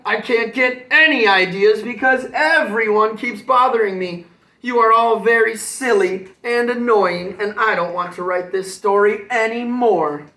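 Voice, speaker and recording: A man's voice: high-pitched, a little slow, pushed and bright, roomy sound, far from the mic, pitched above the performer's own voice. A man voicing a grumpy, self-important male unicorn writer from a storybook, pompous and easily exasperated.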